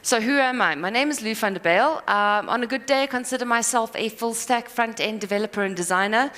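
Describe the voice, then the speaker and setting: A woman giving a live conference talk, rambling and self-deprecating with quick asides and apologies. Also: fairly high pitch, fairly bright tone. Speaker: conference speaker. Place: conference hall. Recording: hall reverb.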